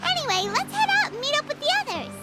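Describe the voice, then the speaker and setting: Squeaky, excitable little fairy sidekick. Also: female voice, quick pace, music in the background. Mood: concerned. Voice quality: squeaky, childlike. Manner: refers to herself in the third person, exclamatory. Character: small floating fairy companion, cheeky, childlike, excitable female. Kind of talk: anime game voice acting.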